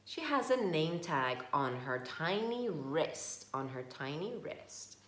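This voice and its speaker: Slow, animated woman reading aloud. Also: sits low. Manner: slow, expressive, asks the listener questions. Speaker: parent educator reading a picture book aloud. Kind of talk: read-aloud to children. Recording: echoey room.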